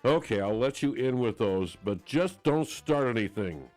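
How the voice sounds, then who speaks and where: A man's voice: mid-range voice, expressive, moderate pace, darkish tone, faint music behind, lightly acted. Man playing a polite, rule-bound dockhand. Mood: resigned.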